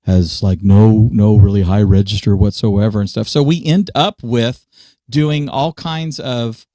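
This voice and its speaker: A man's voice: fairly low pitch, steady tone, a little slow, warm tone, no room sound. Man explaining in a dry, close voice. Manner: conversational, explains with everyday examples. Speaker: church media co-host. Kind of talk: casual podcast chat.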